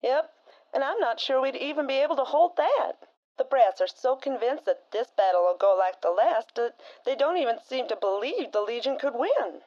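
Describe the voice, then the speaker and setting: A blunt frontier woman with a folksy drawl who co-hosts a radio talk show, played by an actress. Her voice is a touch high. The recording sounds slightly muffled and lo-fi. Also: animated, normal speed, darkish tone. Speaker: radio co-host.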